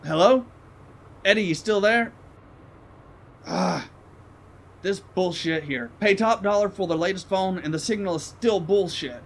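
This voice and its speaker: A man's voice: high voice, measured pace, creaky, long pauses, full character voice. An actor playing a crude, arrogant rich man who talks brashly and profanely from the back of a cab. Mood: irritated. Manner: brash and profane, then irritable.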